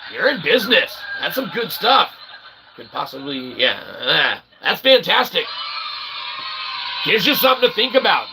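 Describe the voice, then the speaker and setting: A man hosting his own channel, rambling through an opinion monologue with lots of "okay?" and sudden loud outbursts. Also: on the high side, noisy background. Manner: rambling, repeats "okay?" and fillers, bursts of loud excitement. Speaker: channel host.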